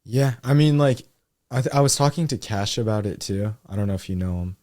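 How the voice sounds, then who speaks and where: A man talking casually on a podcast, reflective and thoughtful, often filling pauses with "like". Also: fairly low pitch, a little mellow, dry recording, clean studio sound. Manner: thoughtful, reflective, frequent "like" fillers.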